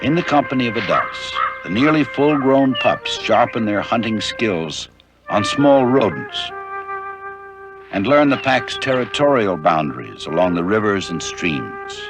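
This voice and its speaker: A man narrating an educational nature documentary in a measured, informative way. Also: lowish voice, over music, low-quality recording. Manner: measured, even, informative delivery. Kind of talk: scripted narration.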